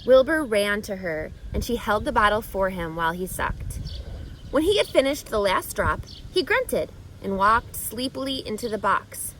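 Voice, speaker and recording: A woman reading a children's storybook aloud with expressive, deliberate phrasing that stretches and stresses key words. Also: mid-range voice, slight background noise, low-quality recording. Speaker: storybook reader.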